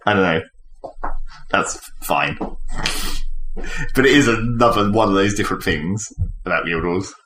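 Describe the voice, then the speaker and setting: A man's voice: lowish voice, a little slow, long pauses. A man co-hosting a podcast, casually talking through video games in an analytical, explanatory way. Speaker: podcast co-host. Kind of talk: casual gaming discussion. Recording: echoey room, faint background noise.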